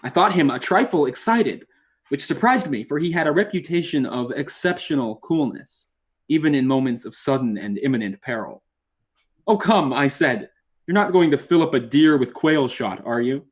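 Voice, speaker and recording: A man's voice: mid-range voice, lively intonation, moderate pace, close to an everyday voice. A man playing a hunter who narrates an eerie encounter in formal, dramatic old time radio style. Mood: urgent. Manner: formal, literary storytelling with dramatic emphasis. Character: male hunter recounting an eerie encounter, rattled but articulate.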